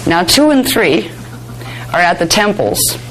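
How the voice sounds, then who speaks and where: A woman with a hoarse voice giving a spiritual workshop lecture, chatty and digressive, laughing often. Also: lowish voice, very animated, unhurried, fairly bright tone, slight background noise, low-quality recording. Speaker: spiritual teacher. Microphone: handheld microphone near face.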